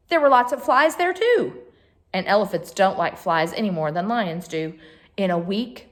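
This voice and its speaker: A woman reading a picture book aloud to children as a storyteller, expressively, with chatty asides to her listeners. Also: mid-range voice.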